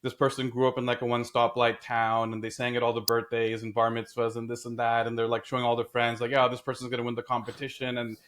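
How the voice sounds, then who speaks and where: A man in a casual discussion, talking in an animated way and telling stories with acted quotes. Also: medium pitch, quick pace, few pauses, a little hiss or hum. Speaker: podcast host.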